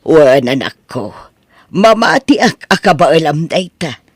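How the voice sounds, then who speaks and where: Guy doing a proud doting mom in an ilocano drama, high swoopy voice. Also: very animated, takes it slowly, husky, dry recording, full character voice. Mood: tender. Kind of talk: Ilocano audio drama acting.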